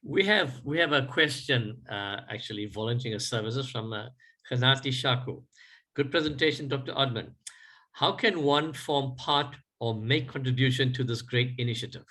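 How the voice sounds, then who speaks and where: A man's voice: medium pitch, pushed and bright, rough recording. Man moderating, reading out questions. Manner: measured, reads questions out with pauses.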